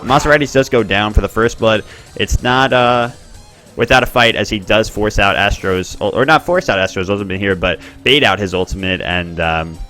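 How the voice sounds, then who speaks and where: Chatty male game commentator, bright voice. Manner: chatty, excitable, bursts up in pitch at plays. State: expects to lose his voice. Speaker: tournament host and commentator.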